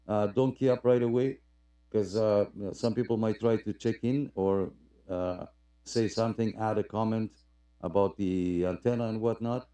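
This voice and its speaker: Mellow low-voiced man hosting a live stream. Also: fairly even intonation, clear, resonant tone. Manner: halting, repeats phrases. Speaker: host of a radio club live stream. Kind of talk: casual unscripted livestream chat.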